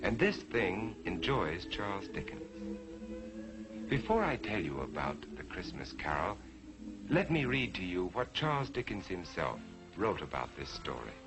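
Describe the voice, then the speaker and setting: Low voiced guy narrating old tv drama, big swings in pitch, emphatic, music behind. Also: wildly swooping pitch, rough recording. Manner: deliberate, emphatic, dramatic phrasing. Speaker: on-screen storyteller and narrator. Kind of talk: theatrical scripted storytelling. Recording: vintage 1940s television soundtrack.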